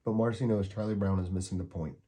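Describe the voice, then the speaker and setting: A man's voice: low voice, steady tone, quick pace, warm tone, slightly rough. A man reading a children's picture book aloud as a story time narrator.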